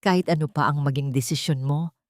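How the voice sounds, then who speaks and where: Female narrator as devoted male attorney, gentle, sincere, soft-spoken, low for a woman, dry story recording. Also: brisk, no room sound, lightly acted. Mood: devoted, reassuring. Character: gentle, loyal male lawyer, quietly devoted. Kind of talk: storybook drama dialogue.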